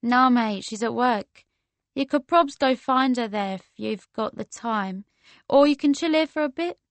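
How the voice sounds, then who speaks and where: A woman's voice: fairly high pitch, measured pace, no room sound. A woman voicing a friendly, laid-back young London woman in an audiobook, breezy and informal with a London accent. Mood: breezy, helpful. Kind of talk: casual slangy character dialogue in an audiobook.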